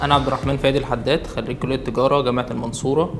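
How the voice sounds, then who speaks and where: A man's voice: mid-range voice, flat delivery, very fast. A young man with an Egyptian accent, speaking matter-of-factly in a testimonial. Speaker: intern. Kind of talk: testimonial interview. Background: music.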